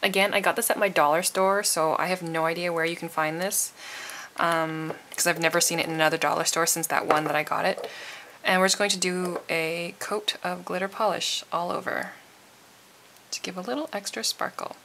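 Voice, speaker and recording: Casual woman, lowish voice, slightly froggy. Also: fairly even intonation, normal speed, a bit bright, slight background noise. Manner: informal, chatty. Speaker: nail art tutorial host. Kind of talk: casual tutorial voice-over.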